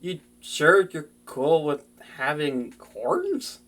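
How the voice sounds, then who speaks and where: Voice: mid-range voice, wildly swooping pitch, extremely slow, fairly bright tone, full character voice. A performer voicing an earnest, flustered young wizard in an intimate fantasy audio drama, chatty and prone to anxious stammering. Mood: worried, focused.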